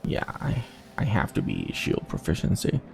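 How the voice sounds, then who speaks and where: A man's voice: on the low side, huge pitch swings, normal speed, gravelly, a little mellow, slight background noise. A man giving casual playthrough commentary on a game, muttering to himself as he decides. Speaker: gaming video host. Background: game music.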